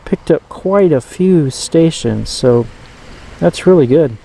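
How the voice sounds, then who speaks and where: Mellow, halting man showing a gadget. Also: on the high side, expressive, vocal fry, breathy edge, poor audio. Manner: halting, rambling, thinking aloud. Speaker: host of a radio collecting channel. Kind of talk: casual hands-on gadget demonstration.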